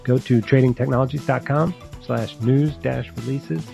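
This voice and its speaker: Guy hosting a podcast, medium pitch, casual talk, bit of music around. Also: steady tone, normal speed, vocal fry, dark tone. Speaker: podcast host. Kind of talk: casual podcast interview and intro.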